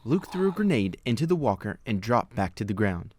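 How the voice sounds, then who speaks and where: A man reading scripted storybook narration as the storyteller, with clear noise behind the voice. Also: on the low side, sing-song, fairly quick, darkish tone. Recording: clear background noise, clicks.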